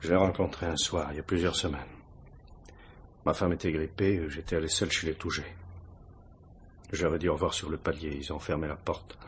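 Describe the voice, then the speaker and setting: An actor playing an anxious middle-aged married man, husky and halting, confessing to a police inspector in a 1950s-style French radio crime drama. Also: a bass voice, fairly even intonation, a little hiss or hum. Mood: defensive, uneasy. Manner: hesitant, self-justifying, halting.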